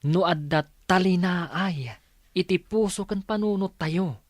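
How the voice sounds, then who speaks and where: A sensible, admonishing grown son, played by an actor, with an Ilocano accent and a slightly high male voice. The radio drama delivery is earnest, in a dry, close recording. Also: a little slow, no echo.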